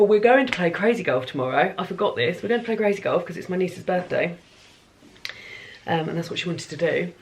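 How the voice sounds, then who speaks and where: A woman's voice: on the low side, a little hiss or hum. A woman chatting casually in a home vlog diary about her plans and reading, rambling and correcting herself as she goes. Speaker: book vlogger.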